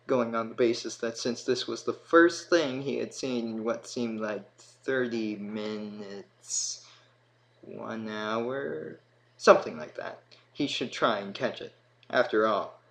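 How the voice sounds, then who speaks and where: A man narrating a scripted fantasy story in a dramatic manner, with emphatic swells and hushed drops. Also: mid-range voice. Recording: clear background noise.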